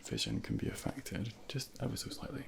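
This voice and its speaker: A man role-playing a junior doctor in a soft-spoken ASMR exam, half whispering in a gentle, hesitant, reassuring bedside manner on a close binaural microphone. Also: medium pitch, wildly swooping pitch, a little fast, gravelly. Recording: close binaural ASMR, faint background noise.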